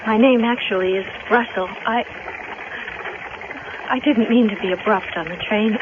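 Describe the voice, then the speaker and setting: A woman's voice: medium pitch, normal speed, close to an everyday voice. Actress as guarded young woman, tinny old radio. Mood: upset. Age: young adult. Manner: curt, guarded, questioning. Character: blunt, troubled young woman grieving her mother. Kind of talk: 1970s radio drama acting and narration. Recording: tinny, noisy vintage radio recording.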